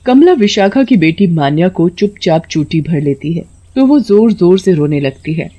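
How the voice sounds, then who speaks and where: A woman's voice: on the low side, animated, moderate pace, warm tone, lo-fi sound. A woman reading a scripted story as its narrator.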